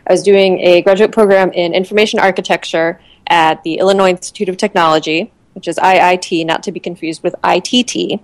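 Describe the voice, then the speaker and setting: A woman's voice: fairly low pitch, fairly even intonation, a little fast, few pauses, dry recording. A woman in her thirties from Connecticut, a guest chatting casually in an interview, with lots of fillers and restarts. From Connecticut, USA.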